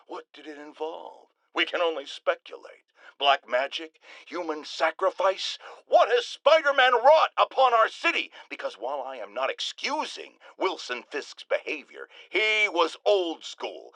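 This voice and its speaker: A middle-aged game radio shock jock, bombastic and paranoid. His pitch sits high and swings wildly as he delivers indignant, pompous talk-radio rants. The tone is bright and forceful, with every point hammered home. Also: dry recording, heavily acted, poor audio. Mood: conspiratorial, then accusing. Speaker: radio talk show host. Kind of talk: theatrical talk-radio rant.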